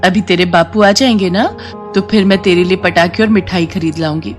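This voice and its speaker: A woman's voice: fairly low pitch, steady tone, moderate pace, soft background music, natural voice. A woman as a humble, meek housemaid mother. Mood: caring, worried. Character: humble, anxious housemaid and caring young mother.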